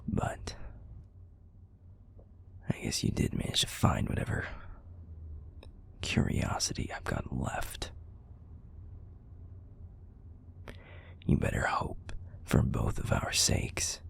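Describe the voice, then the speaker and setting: A man playing a bitter, cynical werewolf pack enforcer in an audio roleplay, with a husky, gruff, confrontational delivery. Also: takes it slowly, long pauses, whispered, close to an everyday voice. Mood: grudging, threatening. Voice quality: gravelly, husky, breathy. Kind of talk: scripted audio roleplay acting.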